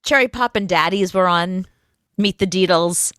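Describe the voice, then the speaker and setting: A woman co-hosting a radio show in casual comedic chat, chatty and animated in her storytelling. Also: on the low side, normal speed, dry recording, studio quality.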